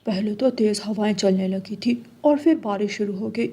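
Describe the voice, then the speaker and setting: A man's voice: high-pitched, moderate pace, mellow, husky. A man voicing an earnest, hardworking father bird in a children's cartoon story.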